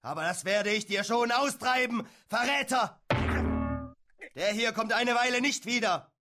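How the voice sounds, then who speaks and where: Ein Schauspieler spielt im Hörspiel einen bellenden, fanatischen japanischen Amtsträger voller Verachtung und Wut. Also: male voice, very high-pitched, a little slow, pushed and bright, soft background music, exaggerated voice. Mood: harsh, menacing. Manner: barking, hectoring. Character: bullying, fanatical Japanese authority man, contemptuous and furious. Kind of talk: German radio drama acting.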